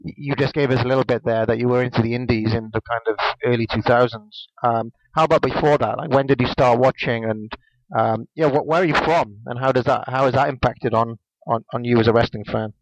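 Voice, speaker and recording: A man's voice: mid-range voice, steady tone, brisk, dry recording. Welsh man in his twenties, hesitant. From Wales. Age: 20s. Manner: hesitant, many ums and restarts. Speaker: podcast co-host. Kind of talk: casual podcast chat. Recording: dull lo-fi dry sound.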